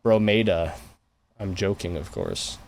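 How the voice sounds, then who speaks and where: A man's voice: deep voice, sing-song, a little slow, vocal fry, slight background noise. A man hosting a podcast in casual chat, joking and laughing often. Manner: jokey, laughs often, mock-pronounces foreign phrases. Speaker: podcast host.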